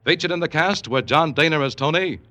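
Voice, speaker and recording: A man's voice: on the high side, expressive, fairly quick, sharp tone. A man announcing credits in the formal style of old-time radio drama. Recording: vintage radio, faint music behind.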